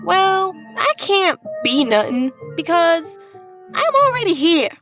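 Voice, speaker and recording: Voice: very high-pitched, very animated, takes it slowly, pushed and bright, soft background music, exaggerated voice. A performer playing a small, literal-minded, doubting school pupil in a horror audio drama. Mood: cheeky, doubting. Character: small, skeptical, matter-of-fact school pupil.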